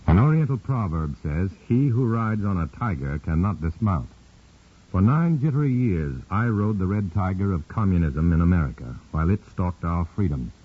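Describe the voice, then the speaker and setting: A man's voice: sits low, expressive, moderate pace, breathy edge. An actor playing an undercover man posing as a communist, narrating and acting in a 1950s radio drama, earnest and clipped. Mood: grim. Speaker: narrator and lead. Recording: tinny old radio broadcast, faint hiss.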